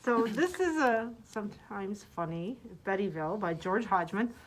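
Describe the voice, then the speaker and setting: A woman's voice: mid-range voice, animated, moderate pace, a little hiss or hum. A woman librarian presenting book picks, reading summaries aloud with casual asides.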